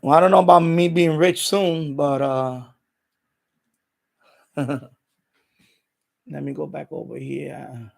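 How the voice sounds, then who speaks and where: A man's voice: on the high side, steady tone, slow, vocal fry, pauses often, a little mellow. A middle-aged man chatting casually and unscripted as a live stream host, rambling and repeating himself as he explains.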